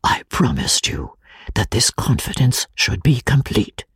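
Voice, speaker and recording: An anguished, honour-bound gentleman from abroad, voiced by a man, pleading solemnly in a classic audiobook drama. The voice is very husky and breathy, often hushed, and swings expressively in pitch over a dry recording. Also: big pitch swings, unhurried, no echo, exaggerated voice. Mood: hushed, grave. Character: anguished foreign gentleman confessing secret brotherhood, honour-bound and fearful.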